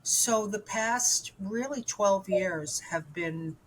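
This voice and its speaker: A woman's voice: mid-range voice, takes it slowly, slight background noise, low-quality recording. A woman in her seventies, from New York, telling her own story as an interview guest in a candid, rambling way with lots of fillers and restarts. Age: 70s.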